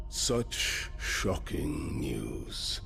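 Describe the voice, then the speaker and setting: An actor playing a smug, imposing warlord in a video game, gravelly and formal, deliberate and taunting. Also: male voice, very deep voice, very animated, full character voice. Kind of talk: video game cutscene acting. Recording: loud music under the voice.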